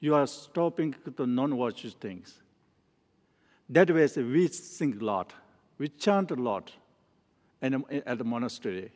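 A man from Nepal, a teacher, giving a spoken teaching in slow, halting English full of restarts. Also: mid-range voice, expressive, darkish tone. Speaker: Tibetan Buddhist teacher. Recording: long hall reverb.

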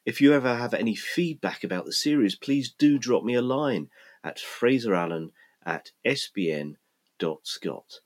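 A man hosting a podcast, reading his scripted links in a measured, reflective way, with an English-sounding accent despite growing up in Scotland. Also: medium pitch, expressive. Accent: English-sounding, not Scottish. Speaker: podcast host.

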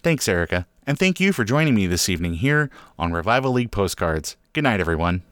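A man's voice: fairly low pitch, big pitch swings, fast, fairly bright tone, no echo, clean studio sound. A man presenting podcast segments with scripted introductions and sign-offs.

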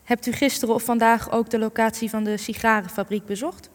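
An actress playing a gentle but persistent young journalist in a radio play, in a reverberant hall. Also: female voice, fairly high pitch, flat delivery, a little fast, close to an everyday voice. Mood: careful, probing. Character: gentle, persistent young female journalist questioning an old veteran. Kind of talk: Dutch radio play acting.

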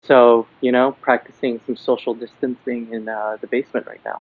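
A man's voice: mid-range voice, little pitch movement, moderate pace, no room sound. Guy from miami talking thru a tinny dry line, thoughtful, lots of uhs. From Miami, Florida. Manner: thoughtful, many fillers and restarts. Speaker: guest, comic book writer. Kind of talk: podcast interview. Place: building basement. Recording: dry, phone-like remote line.

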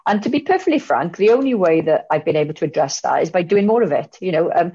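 A woman's voice: on the low side, animated, fast, dark tone, slightly rough. A woman, answering a question at an online conference, candid and reflective, with many fillers and restarts. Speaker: guest speaker. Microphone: video call. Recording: muffled video call audio.

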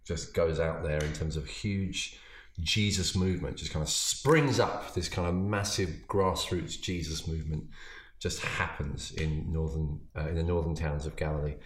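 A man, a pastor, casually summarizing his sermon, often halting and repeating words as he thinks. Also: very deep voice. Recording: roomy echo.

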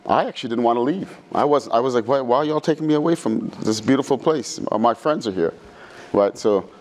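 A middle-aged man from Trinidad who later lived in New York, answering interview questions in an informal, halting, thoughtful way. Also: mid-range voice, darkish tone. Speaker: guest speaker, physics professor. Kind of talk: conversational interview answers, reminiscing.

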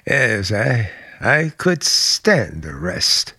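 A man acting in a radio play as an evasive, outwardly polite man on the run with secret menace, speaking in a halting, dryly polite way. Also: fairly low pitch, sing-song, bright, forceful tone, husky, dry recording, clean studio sound, exaggerated voice. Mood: guarded, wry.

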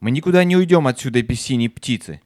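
Man as a brave fairy-tale boy, medium pitch. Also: animated, fast talker, a little mellow, no room sound, clean studio sound, close to an everyday voice. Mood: determined. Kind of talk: audio play acting.

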